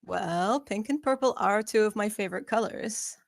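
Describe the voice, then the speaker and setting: Woman reading a sarcastic young woman, guarded but teasing, medium pitch, very dry recording. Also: moderate pace, gravelly, dry recording, natural voice. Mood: wry, teasing. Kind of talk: table read of a screenplay.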